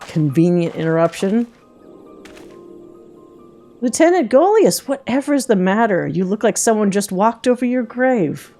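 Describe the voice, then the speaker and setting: A woman voicing a smooth, imperious noble schemer from a video game, formal and falsely sweet. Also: medium pitch, sing-song, measured pace, long pauses, dark tone, soft background music. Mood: smug, insistent. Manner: formal, mock-polite.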